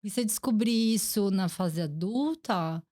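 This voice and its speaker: A woman's voice: mid-range voice, unhurried, darkish tone. A woman in her forties from Brazil, hosting a casual interview podcast and reflecting in a chatty way. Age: 40s. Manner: chatty, reflective, many fillers like "gente" and "né". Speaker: podcast host.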